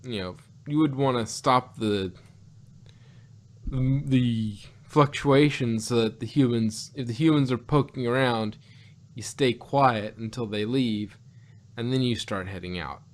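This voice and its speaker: A man reviewing in a casual podcast conversation, talking in a halting, animated, argumentative way and often repeating himself. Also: mid-range voice. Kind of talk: casual review chat about an audio drama.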